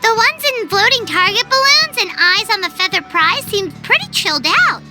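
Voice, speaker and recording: Very high, childlike little game companion. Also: female voice, expressive, normal speed. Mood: curious. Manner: exclaiming, blunt, inquisitive. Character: small childlike flying companion creature, cheeky and chatty. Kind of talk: video game voice acting. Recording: loud game music underneath.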